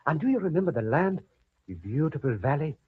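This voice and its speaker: An actor playing an eager, devoted soldier and rancher with a Spanish accent in an old-time radio drama. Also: male voice, fairly high pitch, sing-song, brisk, husky. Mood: dreamy, hopeful. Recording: dull lo-fi old radio recording.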